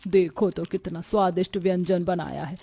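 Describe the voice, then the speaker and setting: A woman's voice: lowish voice, steady tone, normal speed, gravelly, dark tone, breathy edge. A woman voicing an older mother-in-law in a cartoon story, admonishing in a matronly, exasperated manner. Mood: scolding. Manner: scolding, matronly.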